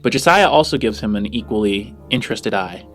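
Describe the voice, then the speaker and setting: A man working as game master, improvising descriptive narration for a tabletop game in a halting way. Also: on the low side, animated, fairly bright tone, soft background music.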